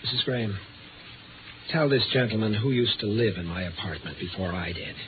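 A man's voice: fairly low pitch, fairly quick, natural voice. An actor playing an embittered, desperate, paranoid man in a 1950s radio drama, speaking tensely and insistently. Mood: grim. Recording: noisy, tinny vintage radio recording.

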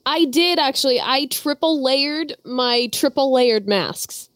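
A woman's voice: high voice, slow, a bit bright, dry recording, clean studio sound. A young woman co-hosting a podcast in casual, teasing banter while getting over a head cold. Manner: playful and teasing. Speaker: podcast co-host.

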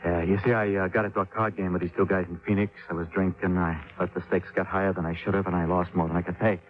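Tinny old-radio actor as a deep, shifty fugitive. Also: male voice, level tone, natural voice. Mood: nervous, confiding. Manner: halting, stammering, evasive. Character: nervous, shifty male fugitive killer feigning humble harmlessness. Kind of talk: 1950s radio drama acting. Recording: tinny, phone-like 1950s radio recording.

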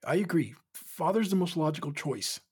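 A woman acting as a blunt, scheming sister in a sci-fi audio drama, with a raspy voice and dry manner. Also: deep voice, expressive, moderate pace, a little mellow, exaggerated voice. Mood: dry, matter-of-fact.

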